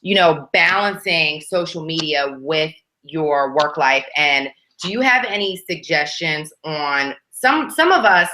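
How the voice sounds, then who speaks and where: Woman, nurse host, lowish pitch, bright tone, friendly, chatty. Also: lively intonation, measured pace. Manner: friendly, chatty, uses "like" a lot. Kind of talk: casual interview chat.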